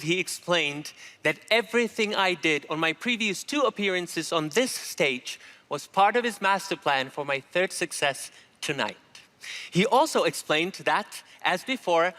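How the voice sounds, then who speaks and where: A man's voice: high-pitched, animated, sharp tone, heavily acted. A man performing as a stage magician, talking theatrical patter in a put-on Eastern European accent on a TV studio stage. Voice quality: theatrical, playful, quick. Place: large TV studio stage with audience.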